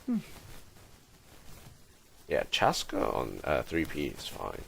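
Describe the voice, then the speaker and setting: Very deep, creaky-voiced male game streamer. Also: huge pitch swings, takes it slowly, fairly bright tone, slight background noise. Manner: muttering, thinking aloud in fragments. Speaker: game livestreamer. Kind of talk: casual live gaming commentary.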